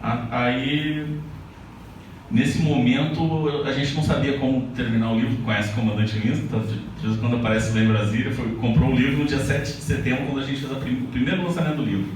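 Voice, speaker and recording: A man from Paraná talks casually to a live audience at an indoor event, often breaking off and restarting his sentences. His voice is heavily echoey and sounds far from the mic, with clear room ambience behind him. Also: mid-range voice, fairly even intonation, a little fast, darkish tone, a little hiss or hum. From Paraná, Brazil. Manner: loose and conversational, with frequent restarts. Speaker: author at a book launch. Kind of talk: speech to an audience.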